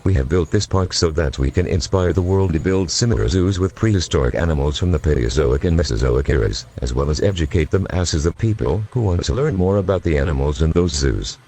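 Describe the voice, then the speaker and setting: A man's voice: very deep voice, fairly quick, few pauses, dark tone, breathy edge, full character voice. A man voicing a zoo builder who speaks in a stiff, formal, scripted way in a mock news interview. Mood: formal, earnest. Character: male zoo builder giving a formal, earnest pitch.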